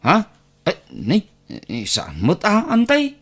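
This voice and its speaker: A man plays a meek nephew in an audio drama, with an Ilocano accent. He stammers and hesitates through slow speech full of little interjections. His pitch swings widely as he goes. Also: huge pitch swings, a bit bright, slightly rough, full character voice. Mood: hesitant, muttering. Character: Bentong, hesitant, meek nephew receiving gifts from relatives. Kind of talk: Filipino audio drama acting.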